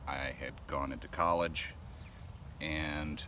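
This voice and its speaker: Deep voiced guy in his 30s, easygoing rambly chat full of ums, cars going by. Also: slow. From Rhode Island. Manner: relaxed, rambling, many ums and uhs. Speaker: pipe-smoking vlogger. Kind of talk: casual chat to camera. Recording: clear traffic noise outdoors.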